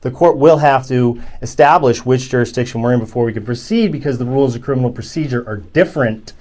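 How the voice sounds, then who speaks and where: A man with a loud, excitable voice arguing legal points with officers in a court lobby, recorded on a handheld phone. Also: mid-range voice, quick pace. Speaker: activist recording his arrest. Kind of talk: casual argument with officers. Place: federal court lobby, indoors.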